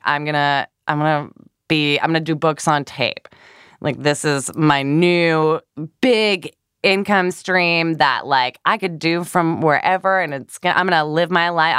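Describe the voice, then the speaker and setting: A woman co-hosting a podcast, telling stories in a chatty, animated way with lots of fillers. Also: sits low, clear, resonant tone, dry recording, clean studio sound. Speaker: podcast co-host. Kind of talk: casual chat.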